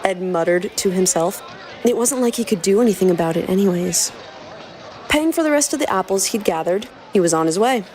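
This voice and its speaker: A woman's voice: mid-range voice, animated, brisk, darkish tone, slight background noise. A woman podcast host reading a scripted promo and narrating a story dramatically.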